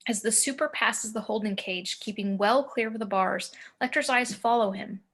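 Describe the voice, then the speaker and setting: A woman's voice: on the high side, moderate pace, a bit bright. A woman reading out scene headings and action description as the narrator of a screenplay table read. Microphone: home video call.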